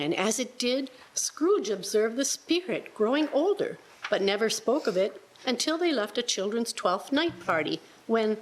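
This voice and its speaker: A woman's voice: on the high side, a little hiss or hum, low-quality recording. A woman telling a story as scripted narrator of a radio play.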